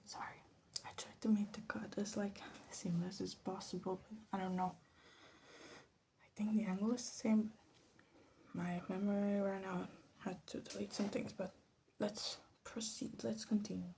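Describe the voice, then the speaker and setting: A woman from Latin America gives soft-spoken ASMR commentary, often slipping into a whisper, in a hesitant, musing, self-deprecating way. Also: mid-range voice, level tone, moderate pace, gravelly. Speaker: ASMR video host. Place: bedroom. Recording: faint background noise, clicking sounds.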